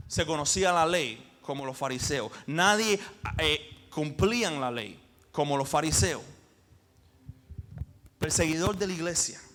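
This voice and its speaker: A male pastor preaches with conviction. His voice sits a little high, and he leans hard into key words before dropping low and quiet. The delivery is an impassioned sermon full of emphatic swells and pauses. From Cuba.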